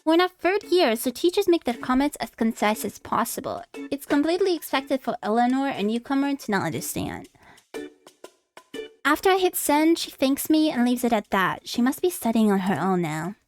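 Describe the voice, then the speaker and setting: High, animated woman streaming a visual novel. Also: normal speed, soft background music. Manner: expressive, dramatic reactions. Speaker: streamer, VTuber. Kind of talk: livestream visual novel playthrough, reading dialogue aloud with casual reactions.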